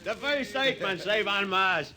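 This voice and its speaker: A man's voice: sits high, lively intonation, measured pace. An actor playing a boorish, boastful drunken spaceman, swaggering and slangy, in a 1950s radio drama. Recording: noisy old radio recording.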